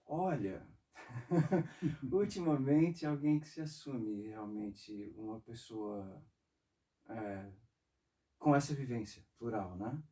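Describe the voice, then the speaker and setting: A man in his forties from northeastern Brazil, an interview guest talking casually and reflectively, in a halting way. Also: medium pitch, mellow, recorded from a distance. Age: 40s. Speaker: interview guest, saxophonist and composer. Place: music studio.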